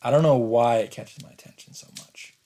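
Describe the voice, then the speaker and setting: A man reading visual novel narration aloud as a let's player, with deliberate dramatic pauses. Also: medium pitch, dark tone. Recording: game sound and noise behind.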